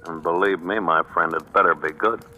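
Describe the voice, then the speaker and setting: Low, menacing radio police captain played by a man. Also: steady tone, heavy background noise, lightly acted. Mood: quietly menacing. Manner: sardonic, tough, deliberate. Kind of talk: 1940s radio drama acting. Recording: thin, phone-like vintage radio recording.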